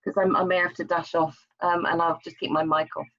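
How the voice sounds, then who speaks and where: A woman's voice: on the low side, level tone, fairly quick, a bit bright. An unwell woman chatting casually on a video call, hesitant and apologetic. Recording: slightly dull video-call audio.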